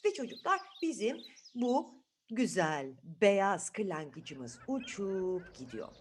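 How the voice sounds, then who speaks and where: Bir kadın, çocuklara sorular sorarak, sözleri tekrarlayarak dramatik bir dille doğaçlama masal anlatıyor. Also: female voice, fairly low pitch, slight background noise. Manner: dramatic, repeats words, asks listeners questions. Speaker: children's storyteller. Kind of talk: improvised, animated storytelling to children.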